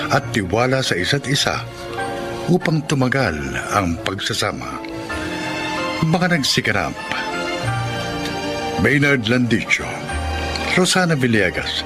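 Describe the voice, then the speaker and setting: A man narrating and reading credits as a radio drama announcer in a scripted style. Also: medium pitch. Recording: loud music bed behind the voice.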